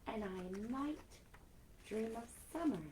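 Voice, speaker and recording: A woman's voice: a little high, expressive, slow pace, mellow. A woman hosting a children's library story time, reading picture books aloud expressively with chatty asides, on a noisy live-stream recording.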